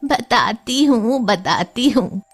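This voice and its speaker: A woman voicing a loving, gently firm, ailing old village mother in a cartoon, speaking in a drawn-out, instructive way. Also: fairly high pitch, lively intonation, breathy edge, exaggerated voice. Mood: firm, explaining.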